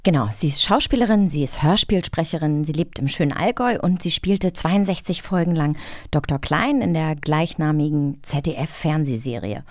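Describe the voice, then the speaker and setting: A woman's voice: sits low, moderate pace, a little mellow, dry recording, clean studio sound. A woman co-hosting a radio magazine in a casual, chatty interview style. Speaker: co-host of a radio play magazine.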